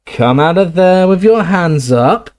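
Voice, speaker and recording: Brief: rasping menacing male villain, slow and leering, a man doing the voice in an audiobook. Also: high-pitched, animated, a little mellow, voice pushed up. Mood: threatening.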